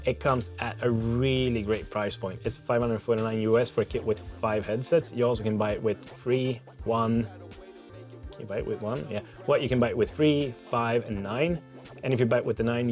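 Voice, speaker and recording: A man's voice: fairly low pitch, darkish tone. Man casually presenting a product review. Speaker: product reviewer and host of a tech channel.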